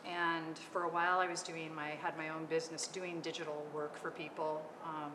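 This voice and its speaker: A middle-aged woman from California, an artist on a panel, talking about her work with many hesitant pauses. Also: low-pitched, level tone. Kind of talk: unscripted panel talk. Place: art gallery. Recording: echoey gallery with long reverb and noise.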